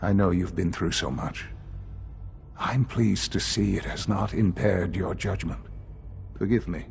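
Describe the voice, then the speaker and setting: Game role: aged male science director, low-pitched, calm, formal and grave, music underneath. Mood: gracious, formal. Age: older. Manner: measured, formal, gravely earnest. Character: elderly calm male leader of secretive science institute. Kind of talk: video game dialogue acting.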